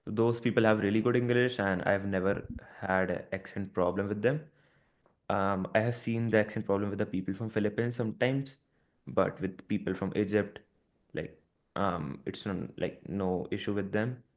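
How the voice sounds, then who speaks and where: A man's voice: lowish voice, fairly even intonation, moderate pace. A man on a casual business call, sharing advice with a peer in an encouraging way, with frequent fillers.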